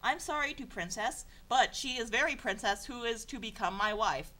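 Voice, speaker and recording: Brief: young woman, fairly high, very lively pitch, deadpan comic reader who trips over garbled lines and snaps into sarcastic asides. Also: moderate pace. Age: young adult. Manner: deadpan reading broken by exasperated sarcastic asides; stumbles and self-corrects over garbled sentences. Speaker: channel host reading fan fiction. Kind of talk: comedic dramatic reading.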